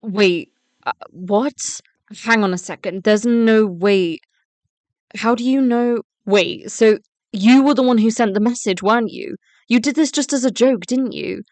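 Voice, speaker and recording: A woman playing a wary, defiant student girl in a story drama, smooth and clear, explaining things at length. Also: a little high, unhurried, darkish tone, no room sound, close to an everyday voice. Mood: defensive, accusing. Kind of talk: first-person story narration and voice-acted dialogue.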